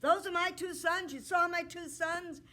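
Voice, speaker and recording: A woman's voice: extremely high pitch, fairly even intonation, moderate pace, pushed and bright, pitched above the performer's own voice. A woman voicing an old king with a terrible temper who growls and blusters. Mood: blustering.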